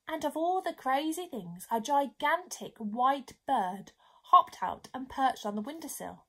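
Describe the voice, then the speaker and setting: Animated, high-voiced young woman storyteller. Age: young adult. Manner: dramatic emphasis, drawn-out key words. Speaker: story reader. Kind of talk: expressive children's storybook reading. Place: small bedroom, indoors.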